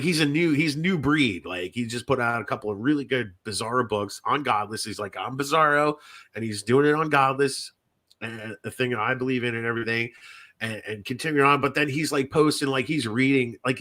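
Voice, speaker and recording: A man with a bright, forward voice at an average pitch hosts a casual podcast. He talks in a loose, rambling way, circling back over his phrases and swearing freely. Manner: rambling, repetitive, frequently swearing. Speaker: podcast host.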